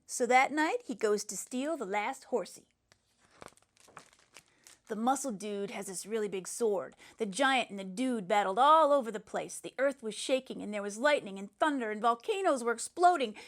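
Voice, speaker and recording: A woman's voice: a little high, expressive, moderate pace, natural voice. A woman voicing a brash schoolboy who tells a macho storybook action tale in a blunt, slangy, boastful way. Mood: boastful, excited.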